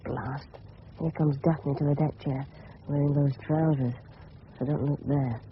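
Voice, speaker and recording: A man's voice: on the high side, fairly even intonation, unhurried, close to an everyday voice. A man playing an eager, cheeky English teenage schoolboy, thinking aloud in clipped boyish slang in a radio drama. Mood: excited, intent. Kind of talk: 1970s radio drama inner monologue. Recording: tinny, faintly noisy old radio broadcast.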